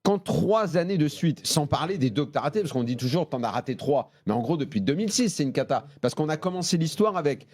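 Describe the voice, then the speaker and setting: A man's voice: on the high side, expressive, a little fast, hardly any pauses, darkish tone. A man debating football as a studio pundit, emphatic and often restarting mid-sentence. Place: radio and TV studio.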